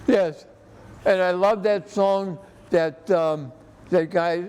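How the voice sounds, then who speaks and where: An elderly American man in his eighties, an interview guest speaking earnestly and haltingly, often repeating himself. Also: high voice, steady tone, darkish tone, clean tone. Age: 80s. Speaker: interview guest, army veteran. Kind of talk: personal reminiscence in an interview. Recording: echoey hall with background noise.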